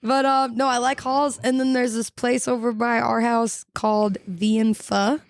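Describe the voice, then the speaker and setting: A woman's voice: fairly high pitch, flat delivery, moderate pace, no room sound, clean studio sound. A woman, a chatty, giggly guest who trails off and restarts mid-thought in casual podcast talk. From Texas. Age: 20s. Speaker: guest singer-songwriter.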